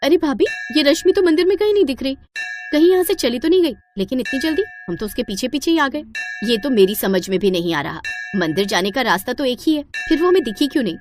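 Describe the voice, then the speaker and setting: A suspicious young daughter-in-law in a moral tale, voiced by a woman narrator. She is gossipy, sarcastic and conspiratorial, in a fairly high voice. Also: expressive, fast, music in the background. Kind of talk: acted moral-story dialogue.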